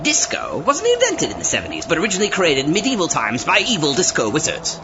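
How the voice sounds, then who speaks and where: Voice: mid-range voice, huge pitch swings, quick pace. An actor playing a theatrical, pompous mystic doctor who senses occult anomalies in a comedic audio drama. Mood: pompous explaining. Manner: theatrical, dramatic, swooping delivery. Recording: muffled lo-fi audio drama recording. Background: loud music.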